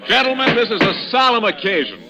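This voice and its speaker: A man's voice: sits high, very animated, quick pace, loud music behind the voice. An American actor playing a pompous, teasing bachelor party chairman in a 1940s radio drama. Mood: mock solemn. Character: mock-pompous, tipsy bachelor party chairman, playfully bossy. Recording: vintage radio recording, noisy crowd behind.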